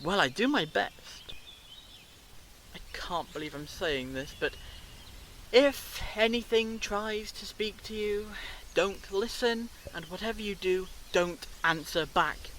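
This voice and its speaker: A man's voice: high voice, animated, slow pace, a bit bright, a little hiss or hum, heavily acted. A man playing a wry, protective time-travelling investigator in a fan audio drama.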